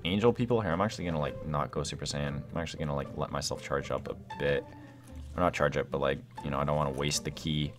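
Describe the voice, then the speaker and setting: A young man with a fairly low voice that jumps around in pitch as he plays. He talks in a casual, slangy way, thinking out loud and exclaiming over the game. Music plays behind him. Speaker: gaming video host. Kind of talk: excited casual gameplay commentary.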